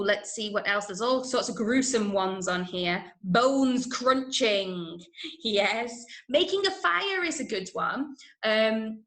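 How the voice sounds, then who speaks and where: A woman with an English accent and a fairly high voice leads an online lesson for children. She is encouraging and keeps checking that her pupils follow. The sound is clearly roomy and echoing. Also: fairly bright tone. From Manchester, England. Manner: encouraging, frequent checking "yeah?" and "okay?". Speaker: workshop teacher of radio drama. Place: indoor room, online video call.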